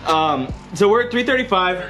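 A man's voice: sits high, moderate pace, low-quality recording. A young man in his twenties hosting a spicy food challenge, casual and slangy. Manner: excitable, slangy, running the challenge timer. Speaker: channel host. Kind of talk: casual chat. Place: indoor studio set with lights. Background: music.

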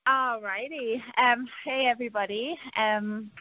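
A woman's voice: a little high, a little slow, a little hiss or hum. A middle-aged woman from northeast England with a Geordie accent, telling her story on a call in a chatty way with many fillers. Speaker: caller giving a testimonial. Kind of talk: casual phone testimony. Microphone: conference call line. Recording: dull lo-fi call audio.

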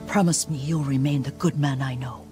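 A woman's voice: very deep voice, fairly even intonation, moderate pace, mellow. Game role: aged woman retainer, protective and careful, strong score underneath. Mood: grave. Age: older. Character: elderly devoted woman servant, caring and cautious. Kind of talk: video game cutscene acting. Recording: music behind the voice. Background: cinematic game music.

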